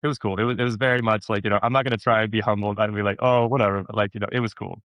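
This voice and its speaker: Male actor as interview guest: low voice, rapid delivery, animated storytelling, close dry sound. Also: dry recording. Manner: animated storyteller, repeats words for emphasis and acts out quotes. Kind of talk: casual interview chat.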